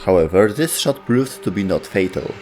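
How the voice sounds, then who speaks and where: A man's voice: lowish voice, animated, moderate pace, very noisy. A man giving scripted narration as the host of a video game lore show.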